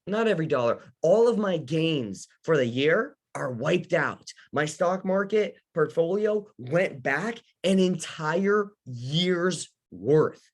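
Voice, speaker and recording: A man's voice: on the high side, animated, takes it slowly, hardly any pauses. A young man from Ukraine teaching a casual live lesson for beginner investors, talking straight to his audience. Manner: casual, talks to the audience, many fillers and restarts. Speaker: host of an investing workshop.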